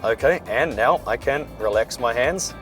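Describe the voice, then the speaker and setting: A hoarse man, chatting and joking as he reviews a car while driving it. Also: medium pitch, lively intonation, normal speed, a bit bright, soft background music. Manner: chatty, dry jokes. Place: inside a moving car.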